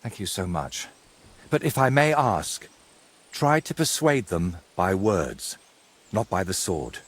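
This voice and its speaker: Husky middle-aged male painter for a medieval game: courteous, wry, florid, very animated intonation. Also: sits low, slow pace, heavily acted. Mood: grateful, weary. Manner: theatrical, wordy, courteous. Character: wry, wordy ageing medieval painter, robbed but polite. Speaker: video game character. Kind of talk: game cutscene dialogue.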